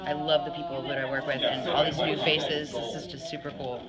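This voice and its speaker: Mid-pitched cast member over loud crowd. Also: little pitch movement, fairly quick. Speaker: play cast member being interviewed. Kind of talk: casual, joking interview answers.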